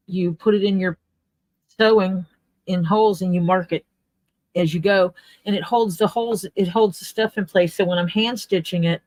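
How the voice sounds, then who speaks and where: A woman's voice: fairly low pitch, no room sound. A woman chatting casually on a livestream while she works on leather, explaining her tools step by step. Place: home workspace.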